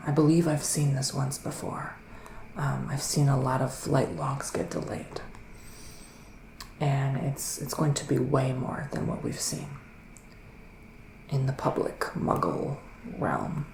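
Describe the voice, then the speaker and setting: A man plays a psychic witch in a soft ASMR roleplay, slipping between gentle talk and whispers. His delivery is very slow and musing, with plenty of vocal fry. The room has a noticeable echo around his voice. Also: mid-range voice, a little hiss or hum. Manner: hesitant, drawn-out, mystical musing. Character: psychic male witch giving a mystical reading. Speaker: ASMR performer playing a psychic reader.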